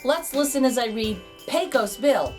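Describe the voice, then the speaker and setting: Female storybook narrator, expressive and animated, leaning on key words for young listeners. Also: on the high side, moderate pace, faint music behind. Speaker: children's book read-aloud host. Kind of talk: expressive storybook read-aloud.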